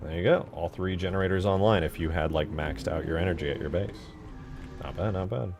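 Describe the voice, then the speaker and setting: A man giving casual livestream commentary as a game modder, informal and low-key, muttering to himself as he works. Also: brisk. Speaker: game modder streaming his work. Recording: music behind the voice. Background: music.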